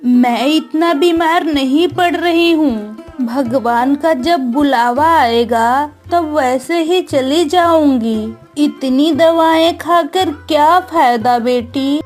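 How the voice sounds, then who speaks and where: A high, slow-speaking woman acting as a sick village mother in a cartoon moral story. She sounds loving and weary, resigned to her fate. Faint music runs underneath. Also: a little mellow. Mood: tender, resigned. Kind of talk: acted Hindi moral story cartoon dialogue.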